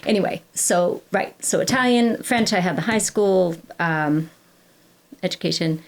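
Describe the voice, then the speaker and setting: A woman's voice: fairly low pitch, measured pace. A woman, a classical singer, chatting as a podcast guest in a rambling, self-correcting way with many fillers. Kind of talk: casual conversational interview.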